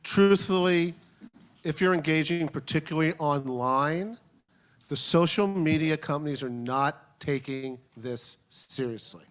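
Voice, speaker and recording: A man answering questions on a panel at a public forum, measured and diplomatic in his phrasing. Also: fairly high pitch. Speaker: advocacy organization representative on a panel.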